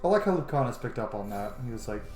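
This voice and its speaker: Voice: medium pitch, sing-song, fast, reverberant, background noise. A gaming host giving casual, chatty, reactive let's-play commentary. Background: video game music.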